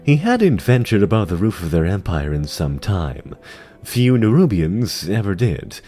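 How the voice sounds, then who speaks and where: Low voiced guy narrating a fantasy story, dramatic, lots of up and down. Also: big pitch swings, unhurried, dark tone.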